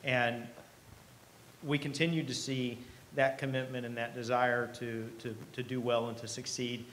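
Middle-aged male keynote speaker, mid-pitched, earnest and conversational, live podium sound with noticeable room noise. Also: level tone, a little slow, fairly bright tone, poor audio. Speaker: company president, keynote speaker. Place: event hall stage. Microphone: podium microphone. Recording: live podium speech with clear background noise.

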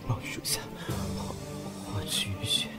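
An actor playing a loyal, deferential servant and bodyguard in a Chinese period audio drama, earnest in manner. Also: male voice, moderate pace, natural voice. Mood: wounded, gasping. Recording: loud background music.

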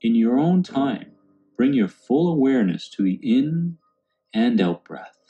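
A man calmly guiding a meditation in a soothing, unhurried way. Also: medium pitch, dull audio. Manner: calm, soothing, unhurried instruction. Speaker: meditation guide. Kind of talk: guided meditation narration. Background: background music.

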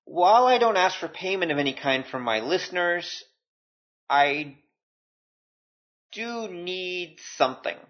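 A man's voice: high voice, expressive, unhurried, long pauses, highs cut off. A man hosting a podcast solo, talking informally with self-deprecating, joking asides. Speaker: podcast host. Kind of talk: casual solo podcast talk.

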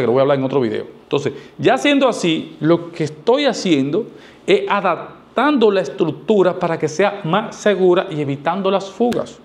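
Guy teaching to camera, voice a bit on the high side, really emphatic, keeps asking and repeating to drive it home. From Dominican Republic. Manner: emphatic, didactic, with rhetorical questions and repetition. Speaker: architect giving advice. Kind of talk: explanatory talk to camera. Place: small indoor studio, seated. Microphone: lavalier clipped to shirt.

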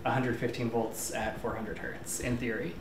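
A man's voice: medium pitch, flat delivery, moderate pace, crowd noise. A man in his thirties chatting casually about technical work in a workshop. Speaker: restoration team member. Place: electronics lab workshop. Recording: echoey room, background voices.

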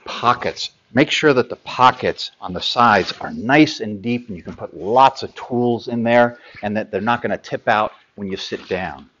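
A man's voice: fairly low pitch, normal speed, few pauses, a bit bright, highs cut off, a little hiss or hum. Middle-aged man explaining gear with ums. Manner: practical, hands-on, many ums and uhs while handling gear. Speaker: sailing gear expert. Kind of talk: product explainer.

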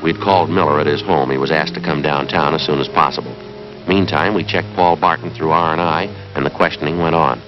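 Very deep deadpan detective narrator. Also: male voice, a little fast, over music. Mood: terse. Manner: deadpan, clipped. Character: terse male detective narrating his case. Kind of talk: scripted 1950s police drama narration. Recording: dull 1950s TV soundtrack.

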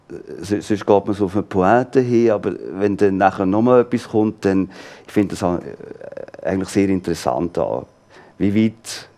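A man in his fifties with a Swiss German accent, a guest in a studio interview, relaxed and laughing often as he thinks aloud. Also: low-pitched. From born in London, raised in Graz and Olten. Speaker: author guest. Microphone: lapel.

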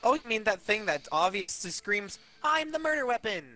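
A man's voice: sits high, sing-song, normal speed, bright, forceful tone. A man reading game dialogue in a jokey, loose amateur fan dub with friends.

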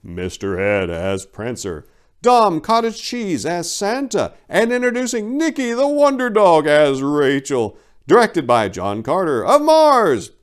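A bombastic trailer announcer, put on by a man, showy and hyped. The pitch is pushed high and leaps about as he sells a film. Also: wildly swooping pitch, unhurried, clear, resonant tone.

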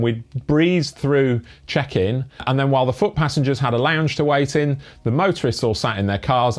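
A young British man speaks straight to camera in a brick-walled room, his lapel mic close and clean. He explains things briskly and earnestly, dropping the odd dry aside, at a middle pitch, with faint music running underneath. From Britain. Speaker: family cycle touring vlogger. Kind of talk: talking-to-camera tips. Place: indoor room with brick wall and bikes.

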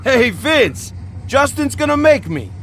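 An actor playing a cocky, self-pitying convict in a video game, talking in brash, needling slang. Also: male voice, very high voice, sing-song, slow, fairly bright tone, a little hiss or hum, full character voice. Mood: taunting. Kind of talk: video game dialogue acting.

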